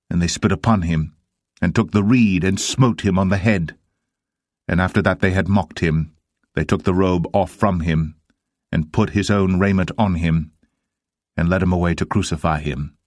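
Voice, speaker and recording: A man narrating the Bible as a dramatised reading, in a solemn, measured manner. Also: low-pitched, dry recording, clean studio sound.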